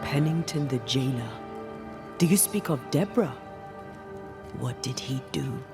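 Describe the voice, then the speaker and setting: A male ghost hunter in a video game, with a creaky mid-range voice. He is terse, direct and sceptical, over prominent music. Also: mid-range voice, animated, measured pace. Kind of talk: video game cutscene acting.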